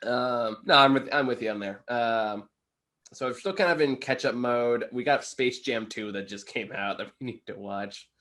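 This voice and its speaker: A man's voice: medium pitch, moderate pace, a bit bright. A man co-hosting a casual, unscripted review chat, talking in a wry and rambling way. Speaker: movie reviewer, co-host.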